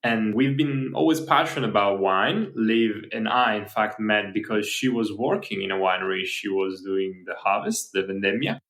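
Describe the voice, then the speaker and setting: A man from northwest Italy, a native Italian speaker, talking calmly in English as a wine expert guest. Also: fairly low pitch, normal speed, few pauses. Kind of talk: casual interview chat.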